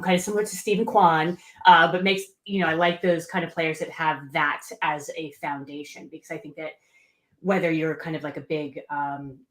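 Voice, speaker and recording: Female podcast co-host, very low voice for a woman, relaxed and halting with frequent ums. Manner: hesitant, many fillers and restarts. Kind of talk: casual podcast chat.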